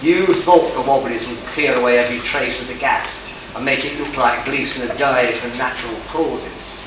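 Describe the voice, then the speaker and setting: A man's voice: medium pitch, normal speed, lightly acted. A man acting a smug, hard-boiled space detective in an amateur live radio play, declaiming and stumbling over the script. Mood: accusing, confident. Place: live convention stage, indoors. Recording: distant, echoey, noisy hall recording.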